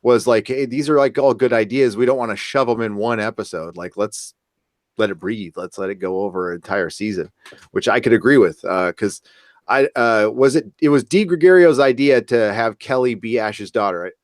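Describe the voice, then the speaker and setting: A man co-hosting a casual discussion, rambling and halting as he goes. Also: fairly low pitch, dry recording, studio quality. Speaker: co-host. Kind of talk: casual chat, reading viewer comments.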